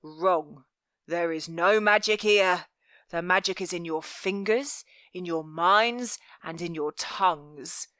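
A woman voicing a cruel, menacing male master of thieves in an audiobook, commanding and deliberate, with mock-tender threat. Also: lowish voice, pushed and bright, no room sound, studio quality. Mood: sneering, instructive. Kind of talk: dramatic audiobook character voice.

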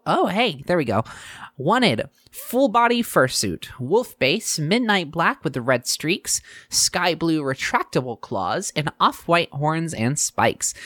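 An actress playing a sardonic, reluctant young student hosting a news show in an audio drama, rambling and exasperated. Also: female voice, very deep voice, no room sound, heavily acted. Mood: eager, wry. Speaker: podcast news host character.

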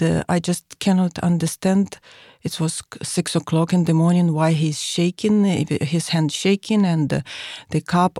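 A woman from Ukraine, a guest on a podcast interview, speaking halting with many pauses and fillers. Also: on the low side, dark tone, dry recording, clean studio sound. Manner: halting non-native English with many fillers. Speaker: guest, association chairwoman.

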